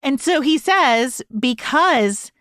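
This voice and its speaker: A woman's voice: high-pitched, expressive, slow pace, a bit bright, dry recording, studio quality. A woman co-hosting a podcast, discussing a book casually in a thoughtful, articulate way. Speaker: podcast co-host.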